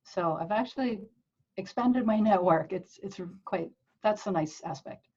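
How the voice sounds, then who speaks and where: A woman's voice: mid-range voice, a little slow, darkish tone. A woman chatting casually as an actor on an online panel, over a lo-fi video call.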